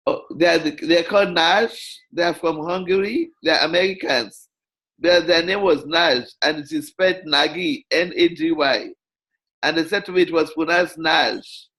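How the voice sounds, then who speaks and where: An older woman chatting warmly on a video call, encouraging and affirming, with frequent agreement. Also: low-pitched, normal speed, poor audio. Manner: encouraging, affirming, many backchannels. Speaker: Toastmasters region advisor. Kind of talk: casual video call chat.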